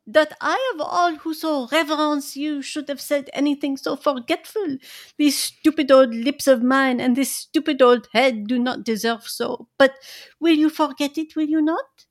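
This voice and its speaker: A woman's voice: high voice, fairly even intonation, measured pace, dry recording, studio quality. A woman voicing a fussy, earnest old male professor in an amateur dramatic reading.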